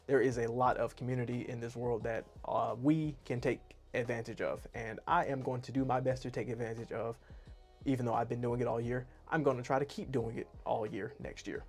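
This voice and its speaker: A man's voice: mid-range voice, a little mellow. Rambling chatty man vlogger. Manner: rambling, self-deprecating, chatty. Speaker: YouTube artist and animator. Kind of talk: casual year-review monologue to camera.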